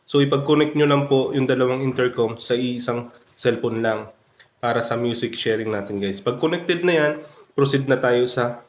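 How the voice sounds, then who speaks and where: A man's voice: mid-range voice, normal speed. A man with a Filipino accent giving a casual step-by-step product tutorial, often addressing his viewers. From Philippines. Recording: very echoey room, faint background noise.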